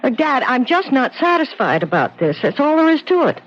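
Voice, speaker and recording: A woman's voice: high-pitched, sing-song, normal speed, a little hiss or hum. An actress playing a distraught, stubborn young American schoolteacher grieving her sister in a 1970s radio drama, earnest and insistent. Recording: muffled 1970s radio broadcast recording.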